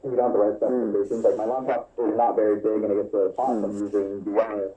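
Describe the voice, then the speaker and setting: A man's voice: lowish voice, flat delivery, fast talker, mellow. A man chatting casually in a rambling, hesitant way, heard over a radio. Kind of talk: casual chat. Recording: thin shortwave radio sound, roomy, faint static. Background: faint radio static.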